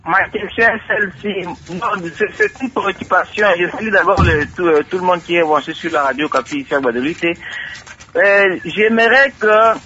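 A man with a Congolese accent giving his opinion as a radio caller, halting and repeating himself. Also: sits high, bright, forceful tone, slight background noise. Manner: halting, repetitive. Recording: dull phone line on radio.

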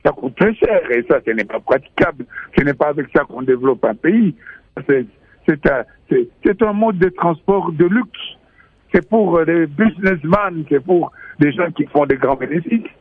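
A professor speaking as a guest on a radio show, emphatic and stammering at the start of points. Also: male voice, fairly high pitch, animated, moderate pace, husky. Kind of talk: radio interview. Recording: thin phone-like line.

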